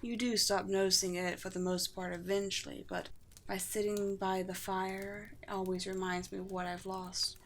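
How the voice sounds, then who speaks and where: A woman voicing a gentle, regal undead vampire queen in a scripted ASMR roleplay, speaking formally and poetically with tender, light amusement. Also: mid-range voice, level tone, moderate pace, a little hiss or hum, lightly acted. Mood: serene, wistful.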